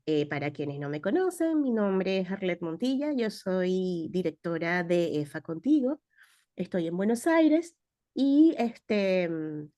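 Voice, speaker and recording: A woman hosting and opening an online talk over a video call, relaxed and chatty with filler words. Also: fairly low pitch, no echo, clean studio sound. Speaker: host and program director.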